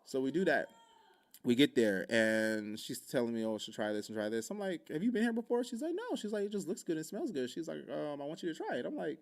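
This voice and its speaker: A man's voice: on the high side, sing-song, fast talker, creaky. A young man from Oahu telling a casual story, acting out quoted lines in shifting voices. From Hawaii, Oahu. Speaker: podcast host.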